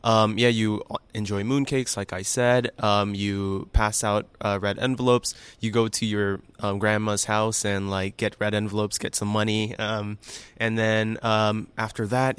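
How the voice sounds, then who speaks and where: Young man from indonesia, smooth clear voice, low-ish pitch, relaxed casual chat. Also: measured pace, dry recording. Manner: friendly, easygoing, with many ums and laughs. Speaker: graduate student. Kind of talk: casual interview.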